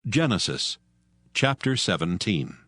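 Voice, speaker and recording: A man narrating scripture from a script in a formal, measured manner. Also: lowish voice, sing-song, a bit bright, no echo, clean studio sound.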